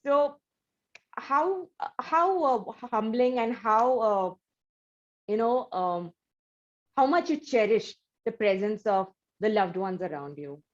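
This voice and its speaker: A woman's voice: fairly high pitch, lively intonation, slow pace, dull audio. A woman host asking long, effusive interview questions, full of fillers like "you know" and "um". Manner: warm, effusive praise with many fillers.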